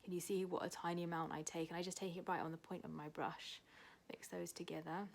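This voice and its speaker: Soft-spoken New woman teaching art. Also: flat delivery, quick pace, vocal fry, a little hiss or hum. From New Zealand. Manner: casual, many fillers, talks while drawing, often drops to a soft low voice. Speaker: art tutor. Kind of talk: art demonstration tutorial.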